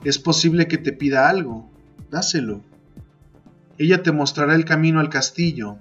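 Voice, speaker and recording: A man narrating a literary fantasy poem as a podcast host, in a measured, deliberate storytelling style. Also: on the high side, fairly bright tone, dull audio. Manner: measured, deliberate, second-person storytelling delivery. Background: music.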